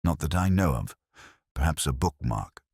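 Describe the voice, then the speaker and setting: A man's voice: rumbling low, animated, normal speed, darkish tone, slightly rough, no room sound, clean studio sound, heavily acted. A man voicing a guarded, composed Victorian woman with formal, evasive speech in an audiobook story. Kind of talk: audiobook character dialogue.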